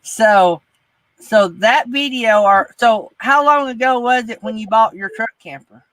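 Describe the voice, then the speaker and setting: Male livestream host, Southern US accent, high pitched, dry recording, informal chat. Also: measured pace, a bit bright, no echo, poor audio. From northeast Alabama, United States. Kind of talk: casual chat.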